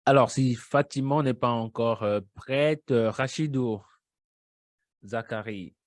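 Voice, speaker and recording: A man's voice: medium pitch, takes it slowly, no room sound, clean studio sound. A man moderating a webinar over a video call in a formal, courteous way.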